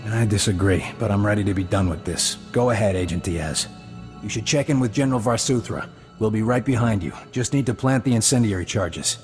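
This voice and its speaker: An actor playing a dry, authoritative intelligence officer in a video game, matter-of-fact, in a reverberant space. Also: male voice, on the low side, fairly quick, over music, natural voice. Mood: brisk, composed. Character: composed, dry-humoured male intelligence squad leader.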